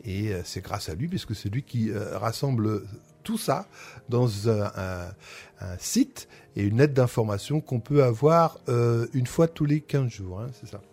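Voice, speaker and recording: Gravelly-voiced friendly male host. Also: mid-range voice, lively intonation, a little slow, darkish tone. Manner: friendly, chatty, hesitant with many fillers. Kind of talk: radio interview chat.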